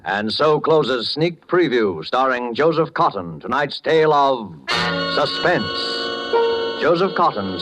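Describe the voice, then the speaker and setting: A man's voice: medium pitch, poor audio. A man announcing a drama program in a scripted 1940s radio style.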